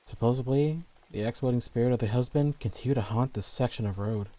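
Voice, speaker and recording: A man's voice: mid-range voice, quick pace, dark tone. A man reading scripted horror stories as the narrator.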